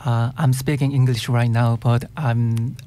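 A man's voice: medium pitch, little pitch movement, a little slow, warm tone, clean tone. A man from South Korea with a Korean accent, a native Korean speaker, answering interview questions as a singer-songwriter, hesitantly searching for words in English.

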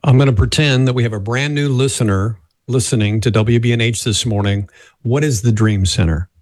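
A man hosting a radio interview, friendly and a little halting, with frequent restarts. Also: mid-range voice, no echo. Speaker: radio host.

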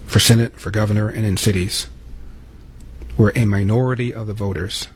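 A man's voice: on the low side, steady tone, moderate pace, husky. An American man hosting political commentary, delivering an opinionated monologue with emphatic rhetorical questions.

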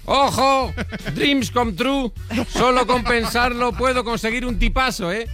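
A man's voice: extremely high pitch, a little slow. A man with an accent from Spain hosting a morning radio show, bantering playfully with exclamations and constant tag questions. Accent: Spanish (Spain). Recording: music bed under the voice. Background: background music.

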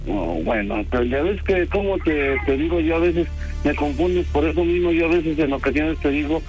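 A man with a Mexican accent talking on a phone call in a hesitant, apologetic, halting way. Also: a little high, a little mellow, faint music behind. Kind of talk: spontaneous phone conversation.

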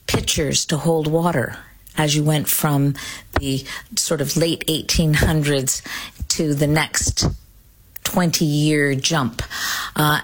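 Woman host, low voice, measured and curious travel narration. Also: rough recording.

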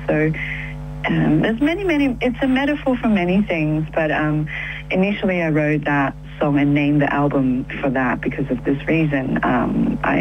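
Phone guest, woman from Taiwan, low voice, hesitant, dull line. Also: a little hiss or hum. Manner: hesitant, many fillers and restarts. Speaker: musician guest. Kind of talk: casual interview chat.

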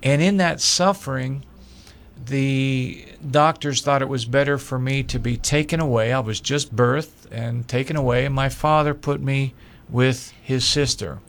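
An older man from Pennsylvania, a local candidate, telling his story slowly in an interview with pauses and fillers. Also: medium pitch, fairly even intonation. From Pennsylvania, USA. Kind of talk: interview answers.

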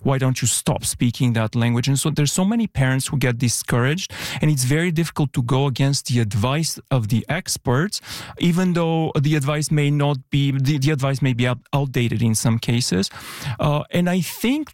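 A man's voice: mid-range voice, lively intonation, brisk, a little mellow, no room sound, clean studio sound. A man appearing as an expert guest on a radio show, explaining earnestly and often repeating words as he goes.

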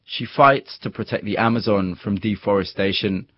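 A man presenting a radio interview, narrating in a measured storytelling way. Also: on the low side, no echo. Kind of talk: scripted documentary narration. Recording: dull muffled broadcast audio.

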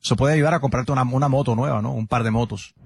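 Brisk male TV host over traffic noise. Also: medium pitch, fairly even intonation, gravelly. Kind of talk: TV interview.

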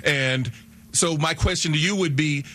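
Bright-voiced radio co-host. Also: male voice, a little high, unhurried. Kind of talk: sports talk radio.

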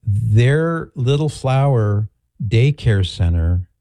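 A man's voice: mid-range voice, animated, takes it slowly, warm tone, no echo. A middle-aged American man, a talk show host speaking casually and reflectively in a discursive, storytelling way. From greater Los Angeles, United States. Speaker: talk show host answering listener questions. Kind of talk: casual conversational talk, reading out messages.

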